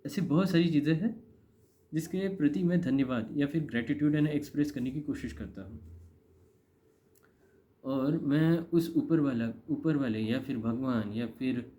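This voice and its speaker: Very dark-voiced Indian man, rambling casually. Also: mid-range voice, normal speed. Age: 30s. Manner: rambling, repeats phrases for emphasis. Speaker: vlogger. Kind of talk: casual confessional monologue to camera. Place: indoor room. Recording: dull, lo-fi.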